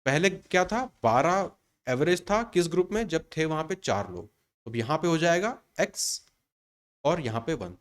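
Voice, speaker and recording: A man's voice: a little high, very animated, unhurried. A man in his thirties teaching an exam-prep maths lesson in Hindi mixed with English terms. He explains step by step and answers his own questions. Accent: Indian Hindi with English terms mixed in. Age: 30s.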